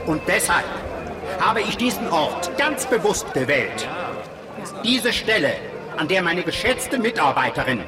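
A man's voice: sits high, big pitch swings. A man playing a self-righteous populist politician in a radio drama, speaking in pompous, declamatory phrases as at a solemn public speech. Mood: solemn, indignant. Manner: pompous, declamatory, rhetorical pauses. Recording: echoing public space with music and crowd behind. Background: music and crowd.

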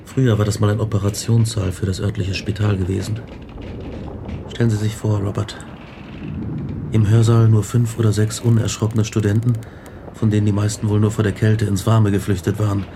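An earnest young man of science. His fairly low voice is reflective and intense, brooding over his fate, with clear background noise around it. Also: flat delivery, a little fast. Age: young adult. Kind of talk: radio drama narration and dialogue.

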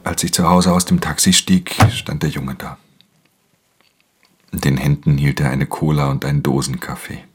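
A man's voice: steady tone, moderate pace, slight background noise. An actor playing a weary, hard-edged thief in a radio drama, speaking in a terse, subdued way as he narrates and talks. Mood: subdued, earnest. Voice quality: very deep, gravelly. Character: weary, hard-edged male thief narrating his own crime story. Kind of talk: radio drama, first-person narration and dialogue.

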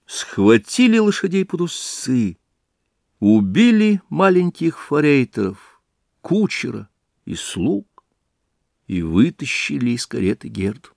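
A male stage actor reading a fairy tale aloud as an audiobook, in an expressive, theatrical storytelling manner. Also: mid-range voice, takes it slowly, gravelly, dry recording, studio quality. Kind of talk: scripted fairy-tale narration.

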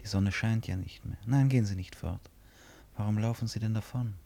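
A restless, brooding man in a radio play, with a very deep, dark voice. He mutters his thoughts in broken, self-questioning phrases, with gravelly creak in the tone. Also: a little fast, a little hiss or hum. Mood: urgent, pleading. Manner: musing, fragmented self-questioning, shifting between murmur and outburst. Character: restless, brooding male stranger lost in his own thoughts. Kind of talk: German radio drama interior monologue.

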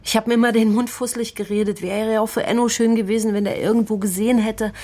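An actress playing a worried, apologetic working-class aunt who speaks plainly and haltingly in a naturalistic radio crime drama. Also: female voice, on the high side, little pitch movement, mellow, lightly acted. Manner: hesitant, halting, unsure, plain-spoken.

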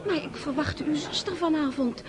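An actress playing a gossipy high-society salon hostess in a radio drama, effusive, affected and playfully scolding. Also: female voice, moderate pace, close to an everyday voice. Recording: party chatter behind the voice.

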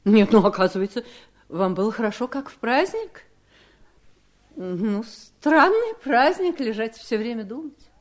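An actress playing a scheming, coolly polite wife in a radio play, speaking with measured, pointed irony. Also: female voice, a little high, sing-song. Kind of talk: 1970s Soviet radio play acting.

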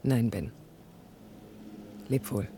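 A woman acting a proud, self-willed but wounded woman architect in a radio drama, speaking in reflective, deliberate literary phrases. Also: a bass voice, warm tone, slight background noise, full character voice. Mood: defiant, hurt. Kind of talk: 1980s German radio drama, introspective narration and dialogue.

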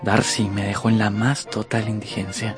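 Actor as a courteous, lower-voiced young officer. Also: male voice, level tone, moderate pace, over music, lightly acted. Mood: aggrieved, confiding. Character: charming, smooth young male officer, courteous and aggrieved. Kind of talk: radio drama acting.

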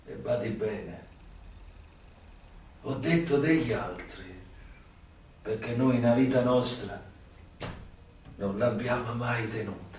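An actor playing an old, sick, brooding mobster turned informant in a radio play, halting and theatrical, with long pauses. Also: male voice, medium pitch, slight background noise, close to an everyday voice. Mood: bitter. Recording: very echoey, distant recording with music behind.

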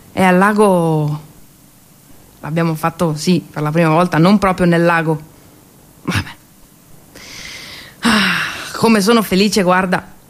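A woman's voice: fairly low pitch, close to an everyday voice. A woman performing a theatrical monologue as a cheerful, naive, motherly mountain peasant who carries wartime supplies, chatty and self-mocking. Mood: dreamy, giddy. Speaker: solo stage actress.

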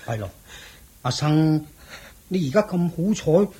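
A man's voice: a little high, expressive, measured pace, dark tone, breathy edge, full character voice. A man with a Hong Kong Cantonese accent playing a loyal, cautious retainer disguised as a guard in a radio drama, earnest and urgent.

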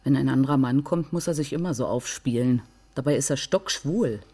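Low-voiced, defiant young woman. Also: fairly quick, dark tone, husky, exaggerated voice. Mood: offhand. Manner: blunt, sardonic, evasive. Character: defiant, guarded young left-wing female history student. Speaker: actress in radio crime drama. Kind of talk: naturalistic radio drama dialogue.

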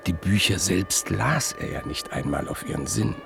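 A man narrating a radio play in a measured, literary storytelling manner. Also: sits low, expressive, gravelly, over music.

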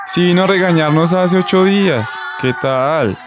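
A man acting a brash bachelor from a radio drama, with a Bogotá accent and a fairly high male voice. He talks like a teasing buddy, slangy and macho, over faint music. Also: moderate pace, close to an everyday voice. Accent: Colombian, Bogotá. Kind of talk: colloquial radio drama dialogue.

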